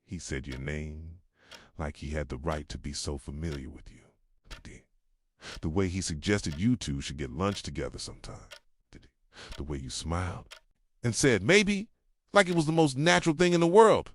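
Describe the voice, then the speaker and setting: A man playing a jealous, possessive yandere boyfriend in an intimate ASMR roleplay, speaking softly and tenderly and slipping into whispers. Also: normal speed, no room sound. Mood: possessive, brooding. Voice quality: soft, low, slipping into whispers. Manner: tender, brooding, confessional.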